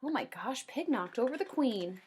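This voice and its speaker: A woman kindergarten teacher doing an expressive read-aloud for young children, with drawn-out emphasis and simple explanations. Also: fairly high pitch, a little hiss or hum. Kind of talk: animated children's read-aloud with comprehension questions.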